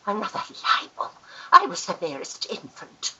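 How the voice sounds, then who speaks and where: A woman's voice: fairly low pitch, huge pitch swings, takes it slowly, pushed and bright, slightly rough, muffled recording, heavily acted. A woman acting a grand, gushing, easily affronted society aunt in a Victorian radio farce, theatrical and emphatic.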